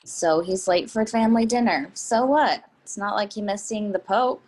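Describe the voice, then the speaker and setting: A woman's voice: mid-range voice, moderate pace, a little mellow, lightly acted. A woman playing an easygoing, teasing mother in a stage play reading. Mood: relaxed, teasing. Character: easygoing, well-dressed mother with teasing wit. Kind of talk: readers theatre dialogue.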